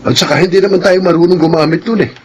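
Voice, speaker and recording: A man playing a kindly, simple older foster father in a Filipino radio drama, folksy and gently grumbling. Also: high voice, fairly even intonation, rapid-fire, lo-fi sound, a little hiss or hum, natural voice. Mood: reassuring, folksy. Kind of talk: Filipino radio drama acting, homely conversation.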